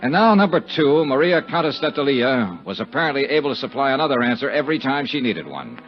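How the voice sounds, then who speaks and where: An actor playing a tough, wry investigator hero with a clipped, hard-boiled manner in a 1950s radio drama. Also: male voice, mid-range voice, very animated, fast talker, soft background music, natural voice. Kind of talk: 1950s radio drama acting and first-person narration. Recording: muffled, noisy 1950s radio recording.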